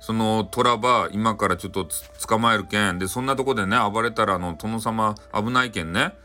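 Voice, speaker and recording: A man's voice: on the low side, a little fast, pushed and bright, faint music behind. A man voicing a clever, cheeky boy monk in a folktale, confident and teasing, with a Hakata accent. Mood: eager, sly.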